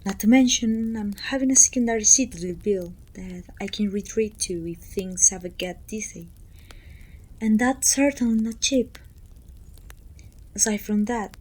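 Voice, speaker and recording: A woman playing a pompous, self-pitying evil queen who talks down to her servant in an intimate, breathy ASMR roleplay, often dropping toward a whisper. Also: medium pitch, dark tone, slight background noise, close to an everyday voice, poor audio. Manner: pompous, petulant, theatrical sighing complaints.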